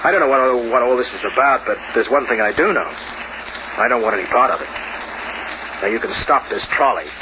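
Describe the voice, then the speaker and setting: Actor as wry hardboiled noir man, lively delivery. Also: mid-range voice, brisk, pauses often, a crowd in the background, lightly acted. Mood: suspicious, cool. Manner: wisecracking, hardboiled slang. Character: wry, cynical, streetwise male locksmith narrator. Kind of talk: old-time radio noir acting. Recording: noisy, muffled old radio recording.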